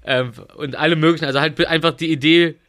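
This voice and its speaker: A man's voice: fairly high pitch, fairly even intonation, quick pace, fairly bright tone. A man co-hosting a podcast in casual banter among friends, telling things in a rambling, stammering way. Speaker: podcast co-host.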